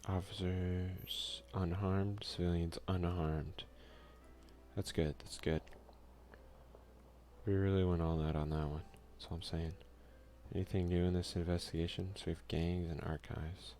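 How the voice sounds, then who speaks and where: A soft-spoken man doing an ASMR let's play, reading game text aloud and commenting in a hushed voice that at times slips into a whisper. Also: sits low, level tone, unhurried, a little hiss or hum. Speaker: gaming video creator and player.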